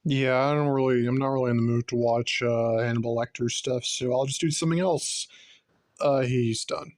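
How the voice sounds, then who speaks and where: A man playing a casual, self-justifying serial killer in a comic monologue, offhand, halting and defensive. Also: mid-range voice, fairly even intonation, close to an everyday voice. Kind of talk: comedic conversational monologue.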